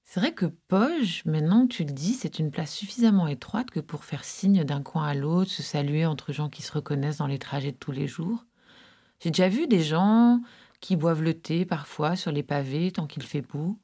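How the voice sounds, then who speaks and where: Low-voiced woman narrating. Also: animated, moderate pace, warm tone, husky. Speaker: podcast co-host and narrator. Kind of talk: scripted narration with conversational asides.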